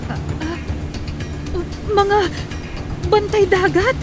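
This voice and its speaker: An actress with a Filipino accent playing a bold, emotional young daughter in a radio drama. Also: female voice, very high voice, very slow, music in the background, exaggerated voice. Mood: alert.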